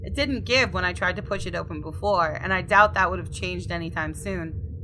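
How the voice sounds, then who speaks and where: Bright-voiced woman narrating a game expressively. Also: lowish voice, fairly quick, slight background noise, rough recording. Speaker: gaming YouTuber. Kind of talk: reading visual novel aloud with casual commentary.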